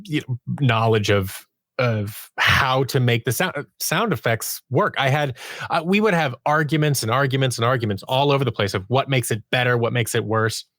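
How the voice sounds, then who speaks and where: A man's voice: mid-range voice, normal speed, a bit bright, no room sound. Man chatting as a guest, dry close sound. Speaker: podcast guest, audio drama producer and sound designer. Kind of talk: conversational interview storytelling.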